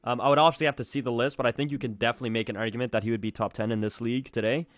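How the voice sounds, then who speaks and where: A young man talks quickly and casually as a sports podcast co-host. His voice sits at a middling pitch, recorded close and dry. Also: steady tone, no room sound.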